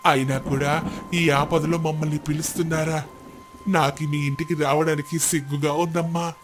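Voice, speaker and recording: A man plays a once-proud old village husband, now humbled, in a storybook tale. His voice is a bit high, earnest and apologetic, with soft music behind it. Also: level tone, moderate pace, natural voice. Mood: ashamed. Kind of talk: moral storybook character dialogue.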